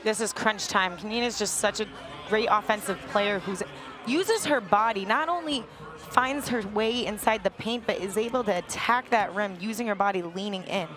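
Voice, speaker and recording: A woman's voice: mid-range voice, moderate pace, crowd noise. A young American woman giving live basketball analysis as color commentator, conversational and enthusiastic. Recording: noisy basketball arena ambience.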